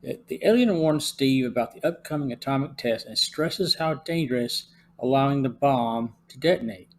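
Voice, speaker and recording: Podcast guy reading his review script, steady even voice, middle pitch. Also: moderate pace, slight background noise. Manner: steady, even read-aloud delivery. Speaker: podcast host.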